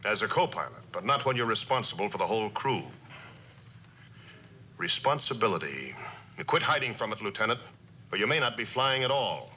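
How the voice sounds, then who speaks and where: A stern Air Force general with a bright, hard-edged, forceful voice that swings in pitch as he admonishes. His delivery is clipped and commanding, in mid-century suspense drama style, over light noise on an old soundtrack. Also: big pitch swings, moderate pace, pauses often. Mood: stern, admonishing. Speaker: actor in a radio and television drama.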